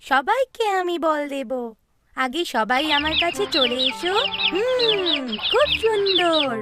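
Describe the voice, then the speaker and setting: A woman's voice: very high-pitched, lively intonation, takes it slowly, over loud music, full character voice. A woman voicing a caring, cheerful mother hen in a children's cartoon, coaxing and instructing in a sing-song way.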